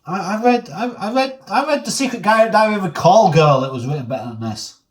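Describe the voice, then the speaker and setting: A man's voice: high voice, animated, brisk. A man chats with his co-host, rambling and stammering through exasperated remarks about a book. He is recorded from a distance in an echoey room. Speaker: co-host listening and commenting. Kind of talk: casual banter.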